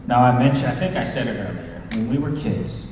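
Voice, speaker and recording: A man hosts a casual convention panel in a very echoey hall. His voice sits at a middle pitch and sounds some way from the microphone, with an informal, chatty delivery. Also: lively intonation, fairly quick, background noise. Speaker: panel host. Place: large convention panel room. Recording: echoey hall, distant from the mic.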